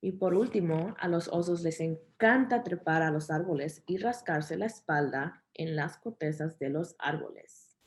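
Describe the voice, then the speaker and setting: A woman's voice: fairly low pitch, normal speed. A woman interpreting a children's program, relaying instructions and fun facts to families and kids.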